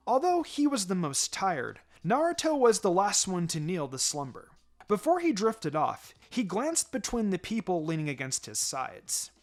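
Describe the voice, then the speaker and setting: Expressive man narrating a story over music. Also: high-pitched, moderate pace. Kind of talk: expressive fan fiction reading. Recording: music behind the voice. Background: background music.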